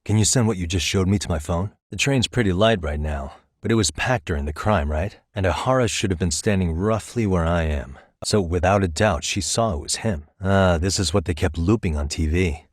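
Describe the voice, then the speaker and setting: An actor playing a composed, probing private investigator in a video game, speaking in a measured, casually wry way. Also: male voice, deep voice, no echo, clean studio sound. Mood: focused, analytical. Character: composed, probing male private investigator, adult, dryly confident. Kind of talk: naturalistic game dialogue acting.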